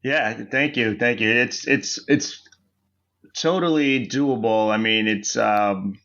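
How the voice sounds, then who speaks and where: A man raised in the US, a podcast guest, talking in an earnest, measured way over remote audio. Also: mid-range voice, fairly bright tone, highs cut off. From United States. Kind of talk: casual interview talk.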